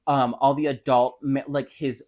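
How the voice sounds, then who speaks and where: Male podcast co-host from the US, mid-pitched voice, rambling and opinionated casual storytelling. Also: level tone, normal speed, a little mellow. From United States. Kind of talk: casual book chat.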